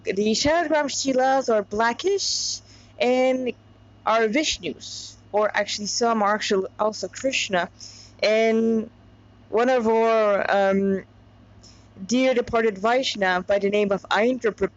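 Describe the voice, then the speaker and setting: A woman with a somewhat high-pitched voice narrates in a homemade, informal style. She rambles and digresses, slipping between reading and chatty explanation. The sound is a little dull and lo-fi. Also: slow. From Montreal, Canada. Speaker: narrator reading a story aloud with commentary. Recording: dull lo-fi recording.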